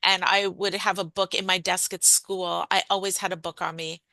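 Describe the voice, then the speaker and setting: A woman author chatting candidly and quickly in an interview about how she became a writer. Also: on the low side, flat delivery, creaky, bright, forceful tone, no room sound. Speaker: author guest. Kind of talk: casual interview conversation.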